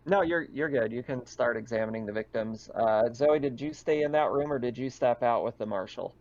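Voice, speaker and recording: A man's voice: mid-range voice, a little fast, darkish tone. A man running a tabletop horror roleplaying game as game master, moving between improvised scene narration and casual questions to players. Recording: dull, lo-fi online game audio.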